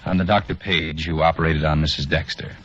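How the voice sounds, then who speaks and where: A man's voice: rumbling low, steady tone, fairly quick, a bit bright. An actor playing a brooding, bitter male doctor in a 1940s radio drama, speaking in a somber, earnest way. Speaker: surgeon. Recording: dull lo-fi 1940s radio recording.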